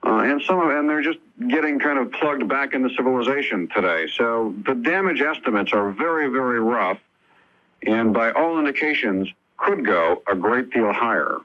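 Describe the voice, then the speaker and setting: A man's voice: medium pitch, expressive, moderate pace, dull audio. An American man giving a loosely spoken news report as a radio correspondent.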